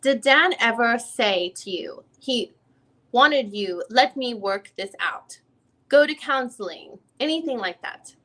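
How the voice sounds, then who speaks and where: A woman's voice: a little high, lively intonation, takes it slowly, a bit bright, exaggerated voice. A woman playing an informal, probing attorney who questions a witness in a reading of a deposition, often rephrasing as she goes. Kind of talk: dramatic reading of a legal deposition.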